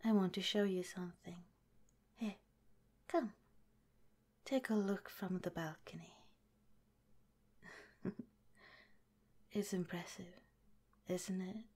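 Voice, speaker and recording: A woman voicing a sly but gentle scientist who runs an underground lab, in a soft, half-whispered ASMR roleplay. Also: lowish voice, steady tone, takes it slowly, long pauses, slightly rough. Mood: hospitable. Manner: sweetly hospitable, with a scheming edge.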